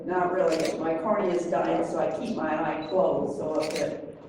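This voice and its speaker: A woman's voice: deep voice, fairly even intonation, normal speed, background noise. An older woman and grandmother from Wyoming, giving a chatty, self-deprecating candidate speech she reads from notes. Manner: chatty, self-deprecating, reading a list of jobs. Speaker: board candidate. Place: convention center meeting room. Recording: echoey hall from far off.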